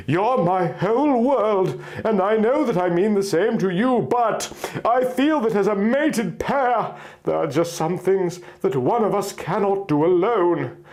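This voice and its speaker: Guy doing a devoted stubborn fantasy heroine, highish voice, very swoopy and melodramatic. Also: darkish tone. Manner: melodramatic, emphatic. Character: stubborn, devoted pregnant young elf-like heroine.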